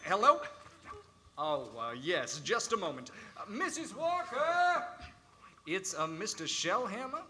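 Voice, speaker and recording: An actor playing a friendly, earnest bachelor lawyer in a 1940s-style radio play, speaking in an affable, casual, slightly hesitant way. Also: male voice, high voice, big pitch swings, slow pace, pushed and bright, a little hiss or hum, heavily acted.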